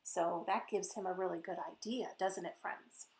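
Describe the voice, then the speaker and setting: A woman's voice: fairly low pitch, normal speed. Roomy-sounding woman reading a children's story. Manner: expressive, addresses young listeners directly with asides. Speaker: children's author reading her picture book. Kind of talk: animated children's story read-aloud. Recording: roomy echo.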